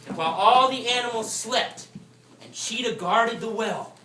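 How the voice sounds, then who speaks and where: A woman's voice: fairly high pitch, moderate pace, sharp tone, a little hiss or hum. A woman telling a story live to children at a puppet show, prompting them to join in as she goes. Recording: echoey room, distant mic, music.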